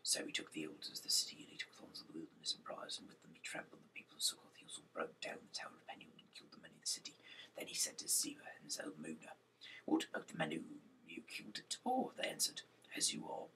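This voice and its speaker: A man reading scripture aloud in an amateur way, with a breathy, gravelly whisper, nonstandard grammar and stumbles over names. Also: a bass voice, big pitch swings, fast, bright, forceful tone, distant mic, rough recording. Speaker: reader of Bible chapters.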